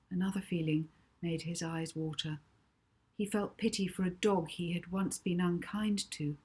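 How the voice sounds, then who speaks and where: A woman author reading her children's novel aloud with dramatic, deliberate emphasis. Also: low voice, darkish tone, breathy edge. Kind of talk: expressive children's audiobook narration.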